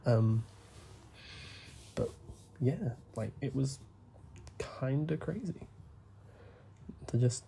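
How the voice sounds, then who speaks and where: A young man, talking softly and rambling as he plays a game, full of fillers and asides. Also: mid-range voice, lively intonation, creaky, slight background noise. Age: young adult. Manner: soft, deliberately hushed talk with frequent fillers. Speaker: gaming YouTuber. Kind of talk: rambling gameplay commentary.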